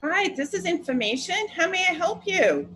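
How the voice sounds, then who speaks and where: A woman's voice: very high-pitched, sing-song, normal speed, fairly bright tone, heavily acted. A woman playing a courteous, businesslike telephone clerk with a formal, officious manner in a radio play. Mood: polite, businesslike. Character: polite, businesslike female telephone clerk, brisk and unruffled.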